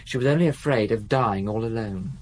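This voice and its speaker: A man's voice: fairly low pitch, a little fast, a little mellow, lightly acted, low-quality recording. An actor in his twenties playing an evasive young male drug addict in a radio drama, talking in a sullen, guarded way. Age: 20s.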